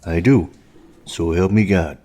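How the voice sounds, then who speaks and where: A very low, mellow male voice for a small-town police officer acted by a man in an audio drama. He is measured and dry, with a stolid, philosophical air. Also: steady tone. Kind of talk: scripted audio drama acting.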